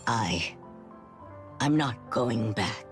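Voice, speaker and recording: An actress playing a frail, dying young woman in a video game, resigned and stubborn, with wistful, halting delivery. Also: rumbling low, animated, soft background music, exaggerated voice. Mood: resigned, defiant. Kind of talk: dramatic video game cutscene acting.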